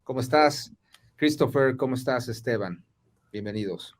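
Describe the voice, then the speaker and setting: A man hosting a podcast conversation, asking questions with many filler pauses. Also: mid-range voice. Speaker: podcast host. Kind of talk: casual conversational interview.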